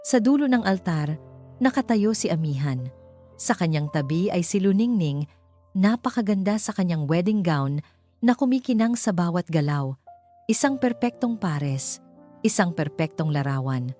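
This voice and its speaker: A Filipino woman tells a romantic drama as a scripted story. Her voice sits fairly low and she delivers it with measured drama, with soft music playing behind her. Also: animated. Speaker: storyteller, narrator. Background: background music.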